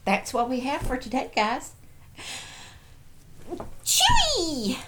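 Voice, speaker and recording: Slow-talking woman casually showing off toys. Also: high-pitched, wildly swooping pitch, fairly bright tone, slight background noise. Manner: addresses toys and viewers playfully, cooing. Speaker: host of a toy show-and-tell video. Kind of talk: casual show-and-tell chat.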